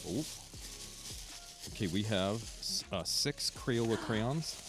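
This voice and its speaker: An excitable man in casual banter, often exclaiming. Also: lowish voice, slow pace. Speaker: show panelist opening a gift. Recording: faint music behind.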